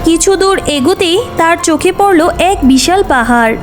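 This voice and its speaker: A woman's voice: very high-pitched, expressive, moderate pace, faint music behind. A woman narrating a scripted cartoon fairy tale as storyteller.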